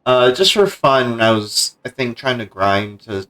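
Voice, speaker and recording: A man's voice: mid-range voice, sing-song, measured pace, fairly bright tone, poor audio. A man streaming a game, giving casual live commentary as he plays. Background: game music.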